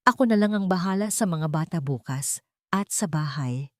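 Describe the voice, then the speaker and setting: A woman voicing a tender, supportive husband and father in a romance audio story, speaking with soft affection. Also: lowish voice, lively intonation, normal speed, no room sound, studio quality, close to an everyday voice. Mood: tender, reassuring.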